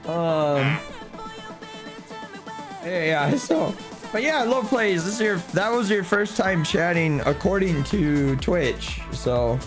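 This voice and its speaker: Hoarse man streaming with music behind. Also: high-pitched, animated, slow pace. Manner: chatty, greets viewers by name. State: hoarse, losing his voice. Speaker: streamer playing a driving sim. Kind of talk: casual live-stream chat.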